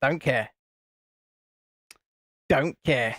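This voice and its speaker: A British man with a naturally high-pitched voice, co-hosting a live stream, teasing and swearing in casual banter while reading chat aloud. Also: drawn-out words, pushed and bright, dry recording, studio quality. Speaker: co-host of a live build stream.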